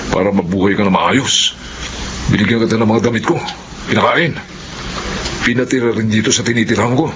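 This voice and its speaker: An actor playing a confident, scheming gang leader who talks a newcomer into a burglary in a radio-style drama. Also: male voice, on the low side, a little fast, close to an everyday voice. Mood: coaxing. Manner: reassuring, cocky. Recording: muffled lo-fi with loud noise.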